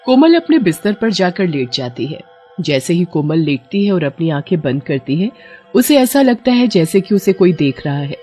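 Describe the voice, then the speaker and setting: A woman's voice: on the low side, big pitch swings, normal speed, mellow, husky. A woman reading scripted narration for a cartoon story. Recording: dull lo-fi audio with music behind.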